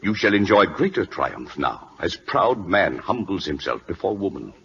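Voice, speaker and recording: A proud and earnest minister, speaks with forceful, very animated intonation. His English is formal pidgin, on a muffled vintage radio drama recording. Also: sits low, normal speed, full character voice. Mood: proud pleading. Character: earnest, proud Siamese prime minister, loyal man. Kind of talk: 1940s radio drama acting. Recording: dull old radio recording.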